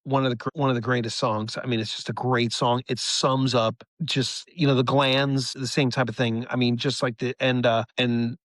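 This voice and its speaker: Male musician guest, mid-pitched voice, close dry mic. Casual interview talk, effusive, stop-start and full of fillers. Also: little pitch movement, a bit bright, dry recording. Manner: effusive, halting, filler-heavy enthusiasm.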